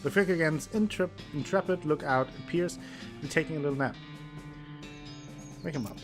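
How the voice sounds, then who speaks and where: Playful man reading game text over music. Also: a little fast. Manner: playful, reads narration with exaggerated pitch swings. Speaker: gameplay streamer. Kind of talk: let's play commentary, reading game text aloud. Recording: music playing underneath.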